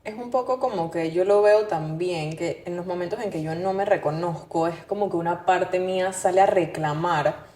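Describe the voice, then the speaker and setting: A woman with a fairly low voice talks as a podcast co-host. She reflects casually and personally, relaxed, with the odd English word mixed in. The room adds noticeable echo. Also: normal speed, slight background noise. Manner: relaxed, code-switches into English words. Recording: echoey room.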